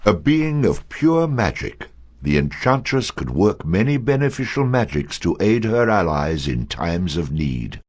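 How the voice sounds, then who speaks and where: An actor voicing a solemn male narrator for a game, with a measured, dramatic delivery. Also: low voice, very animated, darkish tone, full character voice. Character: grandly solemn male narrator, prone to getting carried away. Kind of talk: scripted storybook narration.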